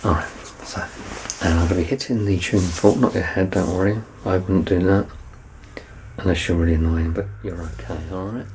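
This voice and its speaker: A man playing a doctor in an ASMR medical exam roleplay, soft-spoken and reassuring, with gentle teasing and close whispered passages. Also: low-pitched, fairly even intonation, a little fast, slight background noise, rough recording. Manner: slips into unvoiced whispering up close.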